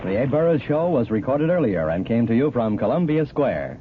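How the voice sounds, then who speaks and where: A man's voice: mid-range voice, big pitch swings, fairly quick. A man working as a 1940s radio station announcer, reading out formal broadcast notices. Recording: tinny band-limited 1940s radio recording, faint music.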